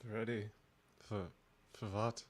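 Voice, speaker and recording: A man's voice: lowish voice, little pitch movement, slow. A man voicing a timid, stammering nineteen-year-old German demon-like mutant in a radio play. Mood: nervous. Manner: hesitant, stammering.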